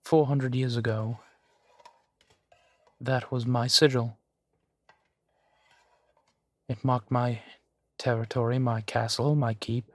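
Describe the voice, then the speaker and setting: A man acts out an ancient vampire nobleman for an intimate roleplay audio drama. He speaks very slowly in a medium-pitched male voice, genteel and amused, lingering over his pauses like a doting host. The recording is dry and close. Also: flat delivery, no echo, lightly acted. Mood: proud, reflective. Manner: unhurried, theatrical, savoring pauses with soft chuckles.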